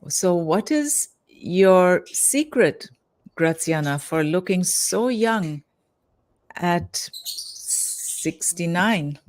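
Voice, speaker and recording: Woman, interview host, low voice, slow, encouraging. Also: animated. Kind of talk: scripted interview introduction and questions.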